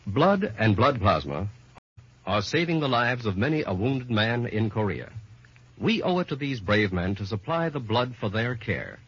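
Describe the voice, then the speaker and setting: American male announcer, formal, emphatic, animated intonation, husky, muffled vintage 1950s radio. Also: on the low side, normal speed. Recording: muffled, lo-fi old radio recording.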